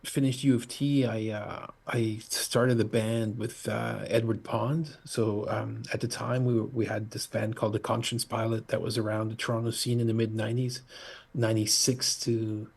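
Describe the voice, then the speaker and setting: A middle-aged man from Portugal, a musician guest talking in a halting, casual way full of fillers and restarts over a video call. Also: lowish voice, flat delivery, gravelly, darkish tone, breathy edge. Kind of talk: casual interview chat.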